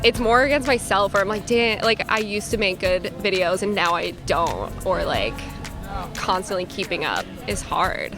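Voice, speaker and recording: A woman's voice: mid-range voice, animated, moderate pace. A young woman chatting playfully and giggling outdoors in a city, joking about herself. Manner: playful, giggly, self-deprecating. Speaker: guest creator. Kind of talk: casual chat. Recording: music clearly under the voice.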